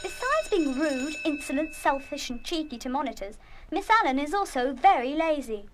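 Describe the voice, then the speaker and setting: An actress playing a bossy, stern schoolgirl in charge of a dormitory in a children's radio play, brisk, scolding and sarcastic. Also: high voice, big pitch swings, background noise. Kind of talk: full-cast children's radio play acting.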